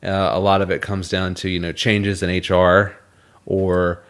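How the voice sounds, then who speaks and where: A young man with a scratchy throat, co-hosting a casual podcast and explaining things in a measured way. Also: low voice, flat delivery, a bit bright. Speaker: podcast co-host.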